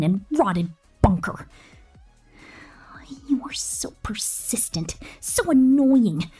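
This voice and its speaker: A woman's voice: mid-range voice, warm tone, slightly rough. A woman playing a possessive, contemptuous killer robot girl in an ASMR villain roleplay, theatrical and domineering, often dropping into a whisper. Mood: obsessive disdain.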